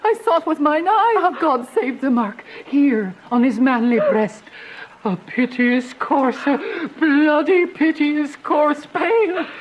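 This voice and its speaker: A woman's voice: sits high, slow, lo-fi sound, background noise. A woman playing a fretful, devoted old nurse in a radio Shakespeare tragedy, lamenting and repeating her exclamations. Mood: horrified.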